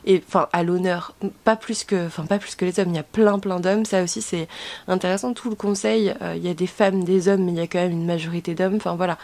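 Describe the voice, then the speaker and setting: A woman's voice: mid-range voice, darkish tone. A woman chatting casually to camera as a book vlogger, in a rambling, self-correcting way.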